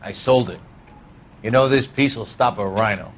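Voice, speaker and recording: Mid-pitched, proud gun dealer played by a man. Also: normal speed, slight background noise, natural voice. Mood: proud, matter-of-fact. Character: knowledgeable, proud, obliging male gun shop owner. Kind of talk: naturalistic video game crime drama acting.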